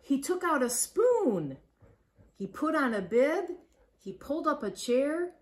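A woman reads a picture book to children. Her voice is on the high side and swings widely in pitch. She takes her time, stressing key words playfully. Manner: animated, deliberate phrasing with playful emphasis. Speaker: nurse reading a picture book aloud to children. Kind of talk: expressive children's storybook read-aloud. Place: living room at home, on a couch.

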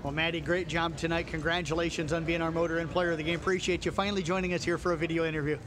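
A man working as a radio sports reporter, asking quick, friendly post-game interview questions in a school gym. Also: on the high side, level tone. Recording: crowd noise in a gym.

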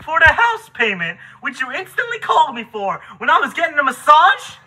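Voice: sits high, huge pitch swings, fairly quick, bright, forceful tone, full character voice. A performer voicing an angry, threatening male landlord creature in an amateur puppet skit, indignant and emphatic.

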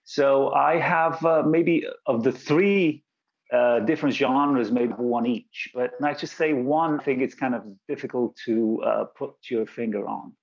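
A man's voice: mid-range voice, measured pace, gravelly, dull audio. A man from Bulgaria, an actor, talking casually as an interview guest, with many fillers and restarts.

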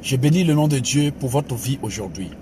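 A man's voice: mid-range voice, animated, moderate pace, a little mellow, a little hiss or hum. A man preaching a motivational sermon as pastor, with an emphatic, exhorting manner. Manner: emphatic preacher, calls listeners "bien aimé", mixes exhortation with laughs.

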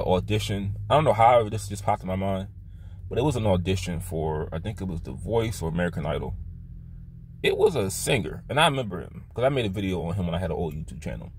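Young man, very deep voice, halting casual monologue, phone at arm's length, inside a car, faint hum behind. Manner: halting, with many restarts and fillers, pitch jumping up on stressed words. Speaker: vlogger. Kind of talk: casual opinion monologue to camera. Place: inside a parked car by day. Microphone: phone camera held at arm's length.

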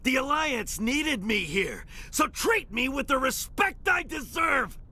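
An actor playing a pompous, hot-tempered crime warlord who styles himself a lord, with haughty, theatrical delivery in a video game. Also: male voice, very high-pitched, very animated, slow, bright, forceful tone, exaggerated voice. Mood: outraged, ranting. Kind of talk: dramatic video game voice acting.

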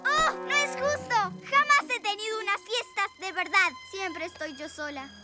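A performer playing a lonely, sulky young girl villain in a dubbed cartoon, with a petulant, drawn-out delivery. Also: very high voice, music in the background. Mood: sulky, upset. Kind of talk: animated cartoon dub.

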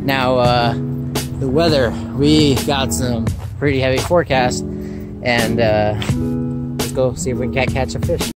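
A young man talking casually to the camera in a relaxed, chatty way with lots of fillers, first in a store and then from his car. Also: medium pitch, a little slow, over loud music. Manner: relaxed, chatty, self-mocking, many fillers. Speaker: fishing vlogger. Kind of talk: casual vlog talk to camera. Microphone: camera mounted on dashboard.

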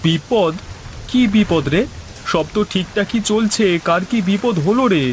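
A man's voice: high-pitched, a little slow, a little hiss or hum. A man playing a resolute, reassuring village man in a fairy tale cartoon. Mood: alarmed. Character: calm, resolute village man, Kishore the elder brother.

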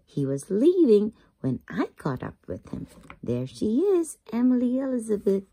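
Female storyteller for young children, higher-pitched and lively, expressive read-aloud with stretched key words. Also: husky. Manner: expressive, drawn-out emphasis for young listeners. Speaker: children's book reader.

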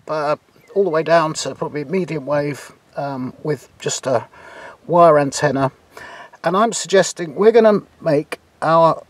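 An older man presenting an informal hands-on tutorial outdoors, explaining in a halting way with hesitant pauses. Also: a little high, no room sound. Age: older, about 60s. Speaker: presenter of a radio demonstration. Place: outdoors in a garden beside a field.

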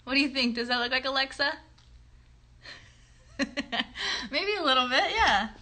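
Woman in her 30s, kids show host, high bright voice, encouraging casual chat. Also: lively intonation, measured pace. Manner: encouraging, simple questions and praise. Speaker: children's puppet show host. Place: bedroom at home. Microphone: phone a short distance away.

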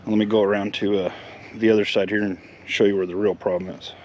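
A man's voice: on the low side, flat delivery, quick pace, a little mellow. A middle-aged American man talking casually in a plain-spoken, matter-of-fact way, like a vlog host explaining a job. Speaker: host of a lawn care vlog. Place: outdoors in a residential yard, later an open garage. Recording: clear outdoor ambient noise.

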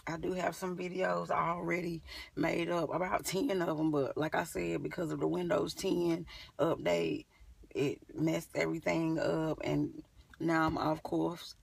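A woman's voice: low-pitched, creaky, breathy edge, no room sound. A young woman talking casually to camera as a beauty vlogger, informal and rambling as she thinks aloud. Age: young adult. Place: inside a parked car. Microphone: phone at arm's length. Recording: slightly distant phone recording.

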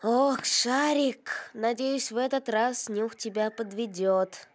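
A woman voicing a calm, sensible young farm boy in a cartoon, with a gentle, thoughtful, measured manner. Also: a little high, a little hiss or hum. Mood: wry. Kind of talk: animated cartoon voice acting.

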